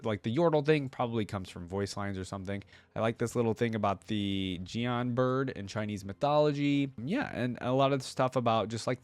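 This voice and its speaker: A man's voice: fairly low pitch, no room sound. A man hosting a reaction video, reading lore aloud in a casual way with chatty, musing asides. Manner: chatty, musing asides between read passages.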